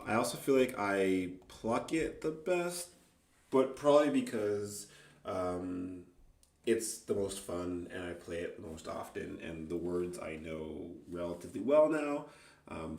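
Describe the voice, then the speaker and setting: A man chatting casually and unscripted as an amateur guitarist, halting and self-critical as he thinks aloud. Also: on the low side, slight background noise. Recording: roomy, distant mic, loud guitar. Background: acoustic guitar playing.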